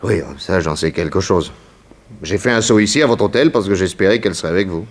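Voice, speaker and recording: A man's voice: low-pitched, expressive, fairly quick, slight background noise. An actor playing a brash, cheeky male detective with a breezy colloquial delivery in a 1960s French radio serial. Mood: sheepish urgency. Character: brash detective hero, late groom, cheeky.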